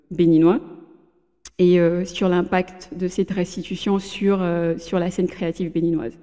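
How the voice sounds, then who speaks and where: A woman in her thirties talks as a podcast guest in relaxed conversation. Her voice is warm and mellow, and she hesitates often, restarting her sentences. A long reverb trails behind her words. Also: fairly low pitch, clean tone. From Benin. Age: 30s. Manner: hesitant, many fillers and restarts. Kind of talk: casual interview chat. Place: room in a home in Germany.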